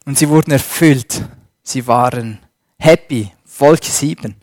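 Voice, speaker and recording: A man preaching a sermon and reading scripture, slow and emphatic, swinging between loud stresses and a dropped voice. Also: medium pitch, no echo, clean studio sound.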